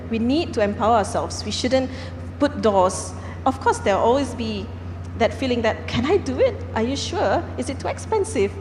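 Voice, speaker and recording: Woman from Singapore, panel speaker, earnest, halting fillers, echoey hall, long reverb. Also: a little high, darkish tone. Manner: earnest, with frequent fillers and restarts. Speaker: panel speaker, company founder. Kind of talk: panel discussion. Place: large conference hall. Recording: echoey hall, faint background noise.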